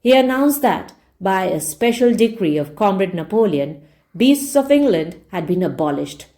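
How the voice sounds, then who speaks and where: A woman's voice: medium pitch, very animated, normal speed, warm tone. A woman narrating a story like a read-along English teacher, explaining as she reads. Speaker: reader and English teacher explaining word meanings. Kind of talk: audiobook-style narration with word explanations.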